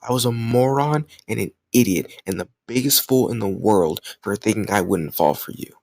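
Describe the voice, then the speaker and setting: A man's voice: lowish voice, animated, normal speed, a little mellow, dry recording. A man playing a jealous, stubborn young lover in an intimate romantic audio roleplay, speaking emotionally and insistently in casual language. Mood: frustrated confession. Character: jealous, stubborn young male lover confessing his love.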